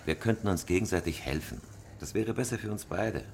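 An actor playing a cool, calculating professional robber, terse and controlled, in a crime radio drama. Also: male voice, sits low, fairly quick, vocal fry, darkish tone, a little hiss or hum. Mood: wary, placating.

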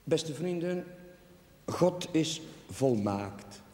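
A wry young village chaplain. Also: male voice, on the high side, lively intonation. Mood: teasing. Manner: brisk, wry, firm. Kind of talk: 1970s television drama acting. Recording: echoey room, slight hiss.

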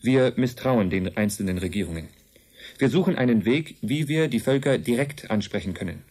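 An actor in a German radio drama playing a calm, earnest envoy of an advanced race, speaking in a measured, matter-of-fact way. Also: male voice, medium pitch, close to an everyday voice. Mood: earnest, explanatory.

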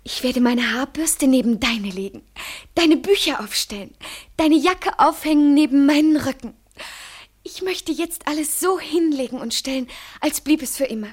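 A devoted young woman in love speaks in a poetic radio play. Her high voice is soft and dreamy, with a solemn, lyrical delivery. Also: lively intonation, normal speed. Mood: tender, reverent.

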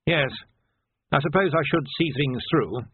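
A man's voice: on the high side, unhurried, breathy edge, no room sound, pitched above the performer's own voice. A man voicing a flustered, proud but doubting police captain of nearly seventy, hesitant and full of old-fashioned military bluster, in an audiobook. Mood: resigned. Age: 60s. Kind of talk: audiobook character voice. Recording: narrow, phone-like band.

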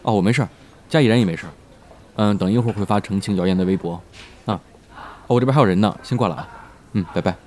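A man's voice: lowish voice, fast talker, long pauses, darkish tone, slight background noise, natural voice. A man playing a polite, modest and considerate character in an audio drama. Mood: reassuring.

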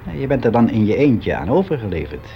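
An actor playing a lonely, timid, regretful office employee of sixty in a radio monologue, speaking in a halting, confiding, colloquial way. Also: male voice, lowish voice, sing-song, a little hiss or hum. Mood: uneasy, confiding. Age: 60s. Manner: halting, confiding, colloquial, with hesitant self-corrections. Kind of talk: conversational radio drama monologue. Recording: dull, lo-fi old radio recording.

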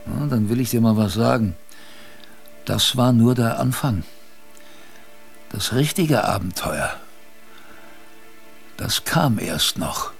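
An actor playing a plain-spoken seaman who recalls a polar shipwreck in a colloquial, halting way, as in a radio drama. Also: medium pitch, gravelly, darkish tone. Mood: teasing, ominous. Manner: colloquial, halting, musing storyteller. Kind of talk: radio drama first-person narration.

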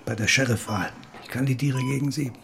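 Hard-line police officer, average pitch, self-assured, confrontational, plain-spoken, audio drama. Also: male voice, fairly even intonation, a little fast, gravelly, a bit bright. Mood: blunt, combative. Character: hard-line, combative policeman challenging the sheriff.

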